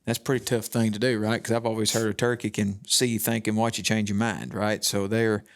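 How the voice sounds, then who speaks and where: A man's voice: lowish voice, little pitch movement, fairly quick. A man on a casual panel, folksy and agreeable, using rural grammar. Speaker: panel guest.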